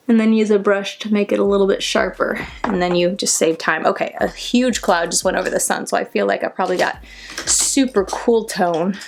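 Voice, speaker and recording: A congested woman in her thirties talks casually through a makeup routine in a shaded room at home. Her voice has a gravelly, fried edge, and she rambles and interrupts herself. Now and then she drops into soft half-whispers. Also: mid-range voice. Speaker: beauty vlogger. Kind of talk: casual chat during a makeup tutorial.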